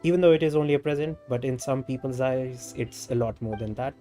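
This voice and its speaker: A man with an Indian accent reading out a polite, earnest young clan official in a fantasy game, in a halting delivery. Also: mid-range voice, steady tone, dark tone, faint music behind, lightly acted. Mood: earnest, guarded. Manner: halting read-aloud delivery, repeating stumbled words.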